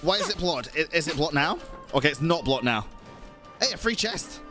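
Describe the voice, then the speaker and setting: A man streaming a game with a lively, fairly high voice. He comments casually, full of slang and swearing, with game music behind him. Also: brisk. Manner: profane, slangy reactions. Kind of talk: casual live gameplay commentary.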